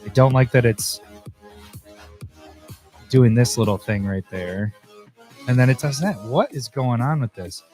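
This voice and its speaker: A slightly hoarse man, losing his voice, talking casually through a livestream as host while he edits, thinking aloud and getting easily annoyed. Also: mid-range voice, a little slow, pauses often, darkish tone. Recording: faint music behind voice.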